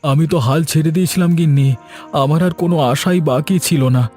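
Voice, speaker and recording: A man's voice: fairly high pitch, moderate pace, darkish tone, soft background music, close to an everyday voice. A man voicing an earnest, grateful middle-aged village farmer in a cartoon. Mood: earnest relieved. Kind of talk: cartoon voice acting.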